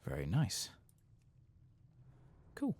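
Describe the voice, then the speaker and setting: A man doing a soft-spoken ASMR game playthrough, murmuring musing asides and sometimes dropping toward a whisper. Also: low-pitched, huge pitch swings, extremely slow. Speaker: gaming ASMR host.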